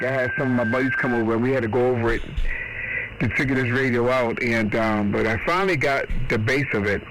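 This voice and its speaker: Man chatting over noisy ham radio. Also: mid-range voice. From Boston, United States. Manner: folksy, rambling, frequent "man" and "you know". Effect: heard over shortwave ham radio. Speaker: amateur radio operator. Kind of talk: casual radio chat. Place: home radio shack. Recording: noisy shortwave radio transmission. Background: radio static.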